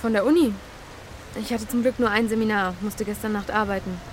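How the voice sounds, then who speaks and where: A woman's voice: mid-range voice, fairly even intonation, brisk, natural voice. An actress playing a guarded, puzzled but obliging young female student in a radio drama, giving brief, reserved answers. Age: young adult. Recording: faint rainy street noise.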